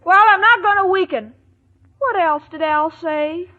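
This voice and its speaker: A woman's voice: very high voice, measured pace. A woman acting a naive, scatterbrained, lovestruck young woman in a 1940s radio sitcom. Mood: flustered, earnest. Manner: naive, earnest, muddles her words. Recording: dull old radio recording, studio audience.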